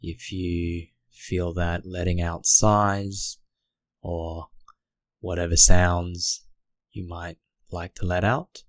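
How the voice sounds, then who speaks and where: A man guiding a meditation in a gentle, unhurried way, speaking in short phrases with long pauses. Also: low-pitched, flat delivery, no echo. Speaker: meditation guide. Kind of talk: guided meditation narration.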